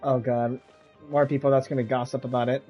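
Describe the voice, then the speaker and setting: A male game streamer with a middle-pitched voice, chatting casually. He mutters his reactions while soft game music plays behind him. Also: little pitch movement, brisk, breathy edge. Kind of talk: casual live gameplay commentary.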